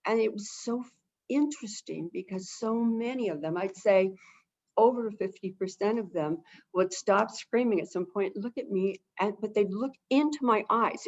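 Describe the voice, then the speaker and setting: A woman speaking at an ordinary middle pitch, calm and gentle, who keeps circling back to the same phrases. She is explaining things as a guest on a video-call webinar. The audio is dull and lo-fi. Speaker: avian behavior expert, webinar guest. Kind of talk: casual explanatory talk.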